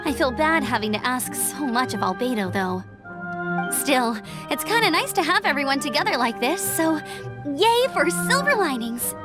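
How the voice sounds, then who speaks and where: An actress playing a cheerful, earnest young female scout knight in a fantasy game, chirpy, expressive and informal. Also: high-pitched, normal speed. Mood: upbeat, reassuring. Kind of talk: fantasy video game dialogue. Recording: game audio with clear background music.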